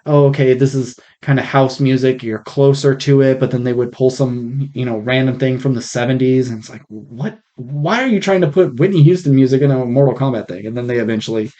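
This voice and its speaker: A man co-hosting a casual film podcast, speaking in a blunt, opinionated way with casual swearing, recorded on a rough mic. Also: mid-range voice, fairly quick, few pauses, mellow, highs cut off. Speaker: podcast co-host.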